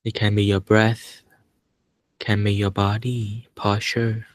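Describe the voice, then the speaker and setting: A man leading a guided meditation as a teacher, speaking calmly and without hurry, in short phrases with long pauses. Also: on the low side, no room sound.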